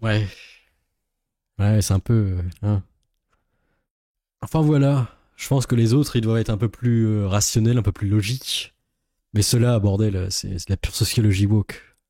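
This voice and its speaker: Dark-voiced sardonic Frenchman, low and dry. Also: moderate pace, long pauses, no echo. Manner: sardonic, complaining. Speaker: streamer, reaction host. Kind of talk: casual live commentary on a video.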